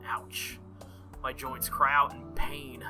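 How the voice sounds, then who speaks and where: A man's voice: mid-range voice, fairly even intonation, slow, bright, forceful tone. A man hosting a gaming playthrough, reading visual novel text aloud and adding casual commentary, with game music behind him. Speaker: gaming channel host.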